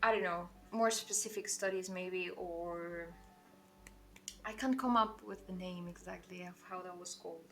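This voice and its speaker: A woman from Portugal with a Portuguese accent, speaking English as a non-native language, chatting casually and quickly while she draws on a livestream, full of "like" and self-corrections. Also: lowish voice, a bit bright, faint music behind. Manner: fast-talking, rambling, with frequent "like" and self-corrections. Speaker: artist streaming a drawing session.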